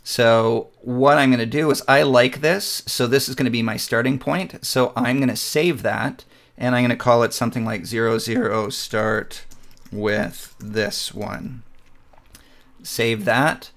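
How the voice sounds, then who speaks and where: Man explaining software step by step. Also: medium pitch, measured pace. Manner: relaxed step-by-step explaining with frequent fillers. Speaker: photography software instructor. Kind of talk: screen-recorded software tutorial.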